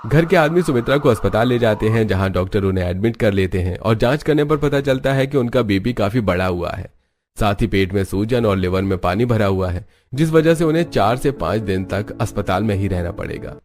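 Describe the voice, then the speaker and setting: A man's voice: fairly low pitch, lively intonation, a little fast, darkish tone. A man narrating a scripted moral story, with noise in the recording.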